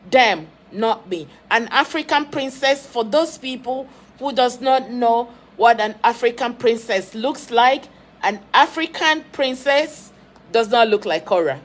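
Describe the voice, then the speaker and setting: A woman's voice: fairly high pitch, animated, a little slow. A woman hosting an opinionated livestream commentary, speaking emphatically and repeating phrases to drive her points home. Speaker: online video host and commentator. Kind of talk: opinionated social media commentary, livestream talk.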